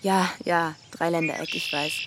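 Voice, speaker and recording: An actress playing a determined young backpacker in a naturalistic German radio drama. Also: female voice, lowish voice, fairly even intonation, moderate pace, noisy background, natural voice. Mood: insistent. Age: young adult. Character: young woman backpacker, determined, searching for a mysterious train.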